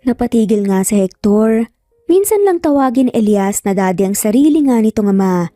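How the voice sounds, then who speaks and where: A woman's voice: fairly high pitch, expressive, moderate pace, warm tone, no room sound, studio quality. A woman giving a scripted, dramatic narration as a storyteller. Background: music.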